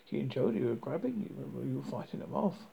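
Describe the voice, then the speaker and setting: A man with a husky, creaky voice reading a first-person story dramatically, haltingly and stumbling over words as a horror host. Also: mid-range voice, sing-song, mellow, dull audio.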